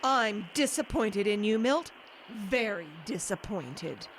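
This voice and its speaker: A woman's voice: mid-range voice, lively intonation, slow pace, slight background noise. A woman playing a feisty, bossy, exasperated wife in an audio drama, with a brassy scolding manner. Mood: disappointed.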